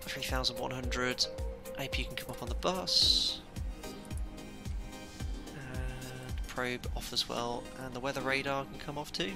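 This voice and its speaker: A man who flies airliners for a living calmly commenting on a simulator landing and reading out checklist items as he goes. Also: mid-range voice, measured pace, creaky, long pauses, music in the background. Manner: calm running commentary, reads out checklist items. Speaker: airline pilot streaming a flight simulator. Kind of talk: live commentary while flying.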